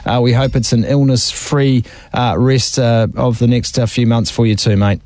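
A man's voice: mid-range voice, level tone, normal speed, darkish tone, a little hiss or hum. A man hosting a sports radio show, chatty and joking with a guest. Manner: chummy, jokey, laughs easily. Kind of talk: casual interview chat.

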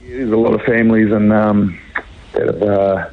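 Casting: New Zealand man, thirties, croaky and low, hesitant rugby player, muffled phone line. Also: fairly even intonation. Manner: halting, many fillers. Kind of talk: radio phone interview.

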